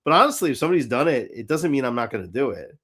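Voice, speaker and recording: A man's voice: medium pitch, sing-song, rapid-fire, a crowd in the background. A man hosting a fan show in casual chat, rambling and hesitant, with some swearing. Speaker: host of a live fan show.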